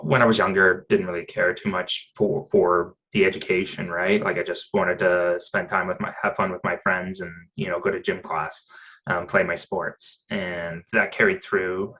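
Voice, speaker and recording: A man in his thirties talks in a loose, rambling way, full of ums and restarts. His voice sits very low. The audio is dull and lo-fi. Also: bright, forceful tone. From Canada. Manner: chatty, rambling, many fillers and restarts. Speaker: podcast guest. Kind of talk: casual conversation.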